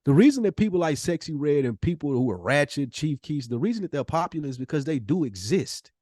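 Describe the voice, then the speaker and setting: Casting: man, fairly high-pitched, emphatic and sweary podcast debater, very dry sound. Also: big pitch swings, fast, darkish tone, no room sound. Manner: emphatic, argumentative, slangy, swearing. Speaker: podcast co-host. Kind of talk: casual debate, opinionated podcast talk.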